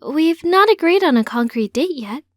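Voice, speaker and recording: A woman's voice: high voice, lively intonation, moderate pace, dry recording, studio quality, voice pushed up. A woman voicing an earnest, slightly sheepish grown daughter in an audiobook story. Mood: happy. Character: earnest young transgender woman, a teacher, confiding in mother.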